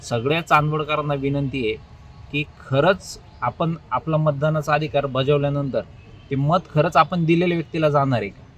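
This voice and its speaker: A man's voice: a little high, steady tone, moderate pace, fairly bright tone, a little hiss or hum. A man with a Maharashtrian accent, speaking informally as an election candidate. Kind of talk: informal phone call and spoken public statement.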